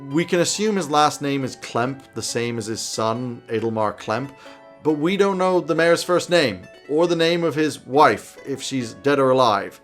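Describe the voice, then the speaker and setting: A man in his thirties giving a conversational explainer to camera as a host, with an animated, casual, dryly humorous manner. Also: mid-range voice, normal speed, faint music behind. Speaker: tabletop roleplaying game advice host. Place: small home office, indoors.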